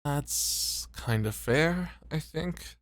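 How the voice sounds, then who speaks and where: A man's voice: on the high side, lively intonation, drawn-out words, no room sound. Man playing a self-doubting club host, close and dry. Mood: doubtful. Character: self-doubting, weary male club host on his break. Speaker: voice actor in an ASMR roleplay. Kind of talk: ASMR roleplay acting.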